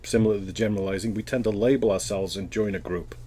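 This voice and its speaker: A man with a Welsh accent and a fairly low voice, speaking in a loose, thoughtful way. He wanders through his answers and restarts his sentences often, the way people do in a casual interview. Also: steady tone, fast, warm tone, breathy edge. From Wales.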